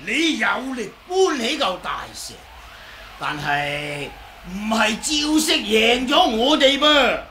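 Shrill, blustering old martial artist. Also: male voice, big pitch swings, takes it slowly, pushed and bright, heavily acted. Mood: smug, scolding. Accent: Hong Kong Cantonese. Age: older. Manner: wheedling, argumentative, exclaiming and chuckling. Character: cheeky, blustering old male martial artist, quarrelsome sore loser. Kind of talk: 1980s radio drama acting. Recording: noisy old 1980s radio recording.

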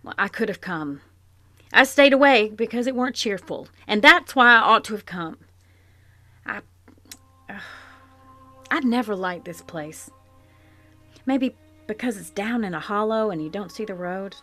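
An actress playing a plain-spoken, regretful middle-aged farm wife in a live audio drama, with earnest period rural speech. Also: female voice, medium pitch, expressive, a little slow, pauses often, bright, forceful tone, faint music behind. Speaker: Mrs Hale. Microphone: home microphone in a closet.

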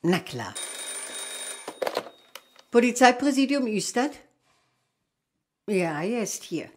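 An actress playing a familiar, teasing secretary at a police station in a crime radio drama. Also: female voice, on the high side, very animated, takes it slowly. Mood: businesslike.

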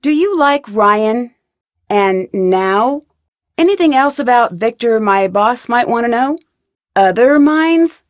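A woman's voice: a little high, expressive, takes it slowly, no room sound, studio quality. An actress playing a young female detective in a video game, asking polite, pointed, probing questions with composure. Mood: inquisitive.

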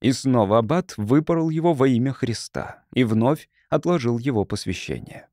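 A man's voice: mid-range voice, lively intonation, normal speed, a little mellow, no echo, studio quality. A man reading literary fiction as a scripted audiobook narration.

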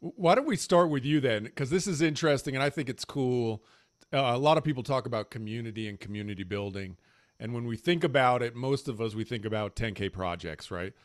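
A man's voice: medium pitch, brisk, no room sound, studio quality. Chummy man hosting a video panel. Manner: upbeat, chummy, loose with fillers. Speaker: panel host. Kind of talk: casual video-call panel moderation. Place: home room with shelves. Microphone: large desk mic on video call.

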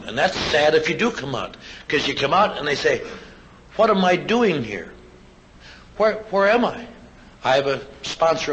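Male recovery speaker, older, wry and anecdotal, mid-pitched voice, lo-fi live recording with faint noise. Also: a bit bright. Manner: plainspoken, anecdotal storytelling with wry asides. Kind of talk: personal testimony speech to an audience. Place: live convention talk.

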